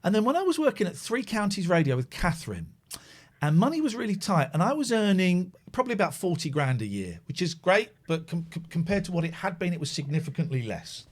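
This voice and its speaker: Animated chatty male radio host. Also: sits high, fast. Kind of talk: casual late-night radio chat.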